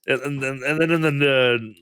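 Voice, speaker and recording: Podcast co-host, male, under the weather, bright edge, giggly, trips over restarts, dry close mic. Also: medium pitch, fairly even intonation, normal speed, smooth, no room sound. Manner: laughs often, restarts sentences. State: sick. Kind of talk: casual podcast chat.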